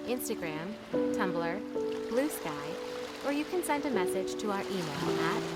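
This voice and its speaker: Woman, medium pitch, scripted credits announcer, prominent music underneath. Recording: loud music bed under voice. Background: loud music.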